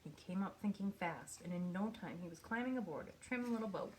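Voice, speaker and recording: A woman reading a children's picture book aloud in an expressive storytelling manner, with pauses for effect. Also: fairly low pitch, slight background noise, poor audio. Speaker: storybook reader.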